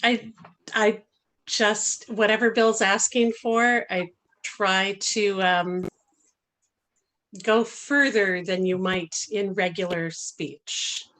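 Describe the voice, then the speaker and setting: A woman who acts in radio plays, giving casual, thoughtful and halting answers at a Q&A. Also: medium pitch, steady tone, a bit bright, slight background noise, rough recording. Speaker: radio play voice actor. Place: talk before a live audience.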